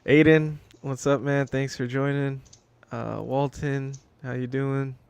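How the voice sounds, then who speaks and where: A man's voice: mid-range voice, little pitch movement, slow, creaky, darkish tone, smooth. A man co-hosting a casual livestream, reading out chat names and joking around loosely with some casual swearing, speaking over a video call. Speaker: co-host.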